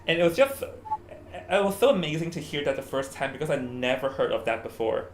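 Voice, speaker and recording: A young man from Hong Kong with a Hong Kong accent, chatting casually as an esports commentator, animated and stumbling over restarts. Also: a little high, brisk, soft background music. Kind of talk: casual stream commentary chat. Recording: faint background noise.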